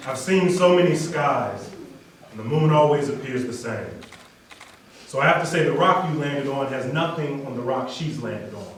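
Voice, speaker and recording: A man's voice: mid-range voice, expressive, normal speed. A man performing a spoken-word love poem as a reader at a wedding. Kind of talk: dramatic spoken-word recitation, reader's theater. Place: large echoey room at a wedding. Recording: echoey hall, distant mic, faint noise.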